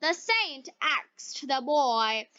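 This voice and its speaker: Female storyteller for beginner learners: very slow, deliberate phrasing, bright high voice, slightly dull lo-fi recording. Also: big pitch swings, no room sound. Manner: deliberate, short separated phrases. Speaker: narrator of stories for English learners.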